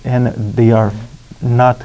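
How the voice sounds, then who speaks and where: A man giving an expert interview, explaining in formal wording with a deliberate, halting delivery. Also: mid-range voice, level tone, dark tone, muffled recording.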